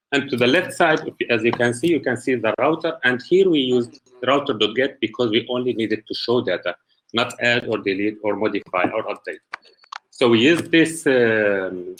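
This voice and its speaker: A man from Syria giving a technical presentation as a student, speaking haltingly and searching for words in English. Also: mid-range voice, a bit bright, slight background noise, rough recording.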